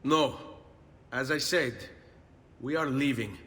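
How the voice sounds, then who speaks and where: A man's voice: medium pitch, slow, a bit bright, breathy edge. An actor playing a stubborn, proud young Italian nobleman in a video game, earnest and guarded, with an Italian accent. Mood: resolute. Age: young adult.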